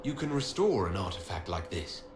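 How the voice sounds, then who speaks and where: A man's voice: deep voice, big pitch swings, moderate pace, background noise. A man playing a resolute commander in a video game, speaking directly and decisively. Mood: curious. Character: resolute male commander leading a war council. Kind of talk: dramatic video game dialogue. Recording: reverberant, with game music behind.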